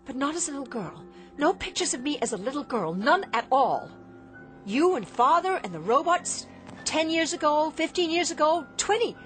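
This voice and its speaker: An anguished young robot daughter in a radio play. Her high voice swings widely as she speaks with dramatic, pleading emotion, over music. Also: sing-song, normal speed. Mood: suspicious, urgent.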